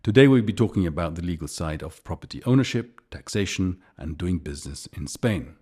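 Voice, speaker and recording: A man's voice: low-pitched, lively intonation, brisk, darkish tone, slightly rough. A man hosting a podcast interview, chatty and easygoing, often restarting words mid-question. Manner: chatty, repeats words, laughs easily. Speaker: podcast host. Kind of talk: casual interview.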